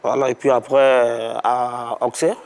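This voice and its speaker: A man, a former footballer, giving halting, laid-back answers. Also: mid-range voice, level tone, a little mellow, clean tone. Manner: hesitant, laid-back answers with many fillers. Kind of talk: interview answers.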